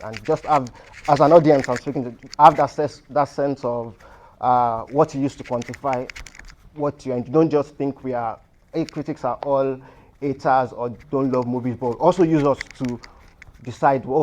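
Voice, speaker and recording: A man's voice: mid-range voice, a little mellow, a little hiss or hum. A man, a film critic speaking on a panel, earnest and repeating himself as he restarts phrases. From Nigeria. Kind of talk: panel discussion. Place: cinema hall.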